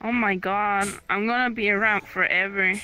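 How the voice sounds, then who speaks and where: A woman's voice: medium pitch, fairly even intonation, normal speed, low-quality recording. A woman chatting casually and playfully with friends, teasing them as she goes. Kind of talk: casual playful banter in a group. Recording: loud music over the voice.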